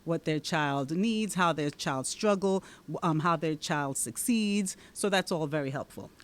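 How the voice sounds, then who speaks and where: A woman's voice: sits low, unhurried. A woman from the Dominican Republic with a Dominican accent, a guest answering interview questions in an explanatory way, often checking in with "right?". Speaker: guest, township committeewoman and teacher.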